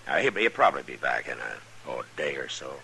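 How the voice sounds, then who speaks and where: An actor playing a steady, protective American frontier marshal in an old-time radio western, plain-spoken and firm. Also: male voice, extremely low pitch, a little fast, vocal fry, slight background noise, heavily acted. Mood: easygoing, reassuring.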